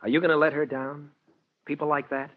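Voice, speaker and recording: A male actor playing an earnest, persuasive lawyer and loyal friend in 1940s radio drama, with a husky, sincere, pressing delivery. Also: fairly high pitch, little pitch movement, fairly quick, lightly acted. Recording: dull vintage 1940s radio recording.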